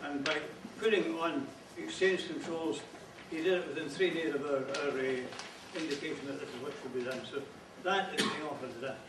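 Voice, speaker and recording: A Scottish man giving a short talk as guest speaker, measured, stumbling on repeated words. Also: on the high side. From Scotland. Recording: echoey hall, distant, noisy background.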